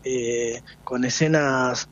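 A man's voice: mid-range voice, fairly even intonation, very slow, a bit bright, highs cut off. A man in his forties with an Argentine Spanish accent, a folk singer being interviewed, talking reflectively with many restarts. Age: 40s. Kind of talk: radio interview.

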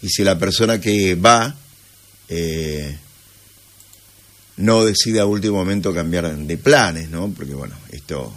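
A man's voice: sits low, a little slow, long pauses, fairly bright tone. A sleepy man with an Argentine accent hosting a morning radio show, chatting casually and unhurriedly with listeners.

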